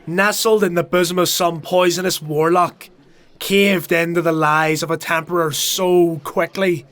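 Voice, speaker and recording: A high-voiced, fervent young zealot. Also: male voice, fairly bright tone. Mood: furious preaching. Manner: stammering, impassioned. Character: distraught young male zealot, fanatical, guilt-ridden, pleading. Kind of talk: dark fantasy audio drama acting.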